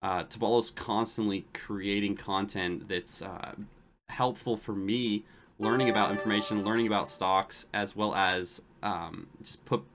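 Man, podcast host, friendly and keen, lowish voice, a few stumbles. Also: fairly even intonation, measured pace. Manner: friendly, enthusiastic, some stumbling. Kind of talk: casual interview talk.

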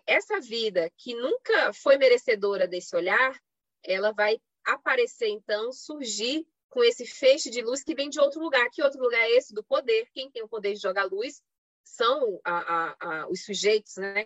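A Brazilian woman with a mid-pitched voice presents in an academic, semi-improvised lecture style. She explains step by step, leaning on rhetorical questions and short tag checks. The sound is dry and a little lo-fi, as on an online call. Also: a bit bright, no echo. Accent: Brazilian Portuguese. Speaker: academic panelist giving a presentation. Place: online live stream. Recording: slightly dull lo-fi online call audio.

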